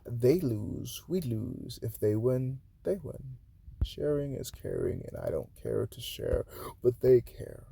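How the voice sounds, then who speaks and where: A man from Haiti reading his own spoken-word poems aloud, swinging between loud declarations and hushed, breathy asides. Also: mid-range voice, dry recording.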